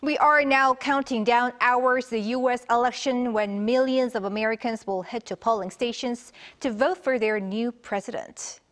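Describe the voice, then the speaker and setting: A woman's voice: a little high, fairly even intonation, moderate pace, few pauses, fairly bright tone. A woman news anchor presenting scripted headlines and introducing reports.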